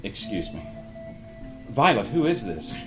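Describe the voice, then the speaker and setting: A man's voice: on the low side, sing-song, measured pace. Man as guarded, formal mentor, music underneath. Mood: wary, stern. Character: guarded, authoritative older mentor, courteous but stern. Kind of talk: audio drama cast table read.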